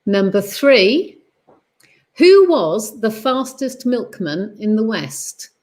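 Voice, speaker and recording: A woman hosting a casual online quiz, reading questions slowly and repeating them before chatting. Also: a little high, sing-song, darkish tone. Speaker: quiz host.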